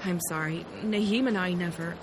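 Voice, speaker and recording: A woman's voice: on the low side, normal speed, noisy background, natural voice. An actress playing an earnest, anxious young alien woman in a science fiction audio drama, with a hesitant manner. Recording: loud music under the voice.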